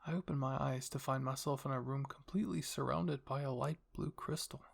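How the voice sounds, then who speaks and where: Soft gravelly man reading a story. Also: medium pitch, fairly even intonation, a little fast. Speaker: narrator and channel host. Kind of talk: soft ASMR story narration, reading fan fiction.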